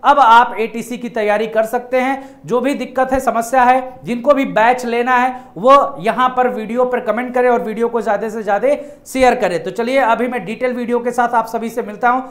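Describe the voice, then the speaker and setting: A man's voice: extremely high pitch, fairly even intonation, normal speed, hardly any pauses. A man in his thirties, an exam coaching teacher, explaining a job notice to students in a casual way, often repeating key points. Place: indoor studio in front of a projected screen.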